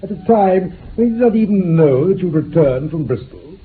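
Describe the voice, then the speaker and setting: An actor playing a stern, sceptical coroner who questions formally and pointedly in a radio drama. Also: male voice, high-pitched, lively intonation, moderate pace. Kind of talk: 1960s British radio drama acting. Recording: tinny, band-limited old radio recording with hiss.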